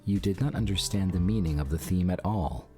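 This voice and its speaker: A man's voice: sits low, brisk, mellow, faint music behind, lightly acted. A man playing a cold, serious fashion judge in a game, with a severe, clipped, formal delivery. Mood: cold, critical. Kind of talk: game voice acting.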